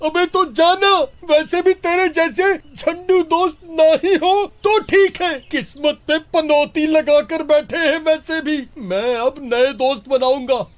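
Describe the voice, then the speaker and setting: A man's voice: extremely high pitch, takes it slowly, hardly any pauses. A man voicing a young male beggar in a comic horror story, whining and cocky, with exaggerated complaining and mockery. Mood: mocking bravado.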